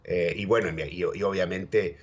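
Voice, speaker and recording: Argentine guy, real deep voice, rambling storyteller, dull lo-fi sound. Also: fairly even intonation, unhurried, slightly rough. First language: Spanish and English, bilingual. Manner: rambling, repeats words, keeps saying ¿viste?. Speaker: interview guest. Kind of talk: casual storytelling chat. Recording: dull lo-fi audio.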